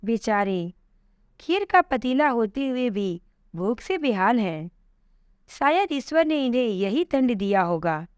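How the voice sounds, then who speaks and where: A woman's voice: on the high side, sing-song, slow pace, darkish tone, smooth, no room sound, studio quality. A woman voicing a kind, curious, pious elderly woman in a polite, wondering storybook manner. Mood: pitying.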